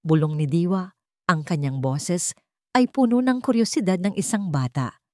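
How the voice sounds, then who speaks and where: A woman reading a scripted dramatic story as narrator, with measured, deliberate phrasing. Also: fairly low pitch, lively intonation, a little mellow, dry recording, clean studio sound.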